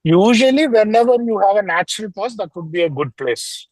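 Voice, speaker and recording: A man's voice: high voice, expressive, fairly quick, a little mellow, dry recording, low-quality recording. A man interpreting live at an online meeting, speaking in a friendly way with many fillers, heard over a video call. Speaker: translator.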